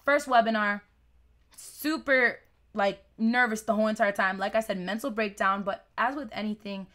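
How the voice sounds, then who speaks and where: A woman's voice: mid-range voice, moderate pace, sharp tone. A young woman fitness coach talking casually on a live webinar, rambling with lots of fillers, her voice a little worn. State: losing her voice.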